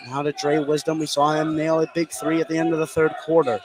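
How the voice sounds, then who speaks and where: High-pitched man calling live basketball. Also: brisk. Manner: excited shouts on big plays. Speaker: play-by-play announcer. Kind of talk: live basketball radio commentary. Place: indoor school basketball gym, live courtside. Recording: noisy live gym broadcast.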